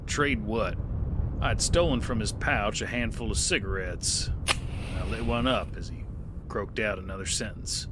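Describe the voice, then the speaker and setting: An actor narrating as a weary gunslinger in an audio drama, with a dry, laconic delivery. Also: male voice, on the low side, unhurried, background noise, natural voice. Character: weary male gunslinger narrating his tale.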